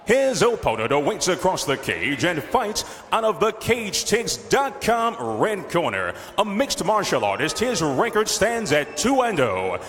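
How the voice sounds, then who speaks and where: A man working as ring announcer at a mixed martial arts event, giving formal introductions with showy, drawn-out names. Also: high-pitched, fairly bright tone, a little hiss or hum. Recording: long echoing arena reverb.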